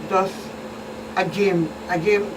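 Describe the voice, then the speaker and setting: A woman's voice: mid-range voice, fairly even intonation, takes it slowly. A middle-aged woman with a Virgin Islands Caribbean accent, explaining things as a police expert guest in a talk show interview. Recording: distant mic, clearly noisy background.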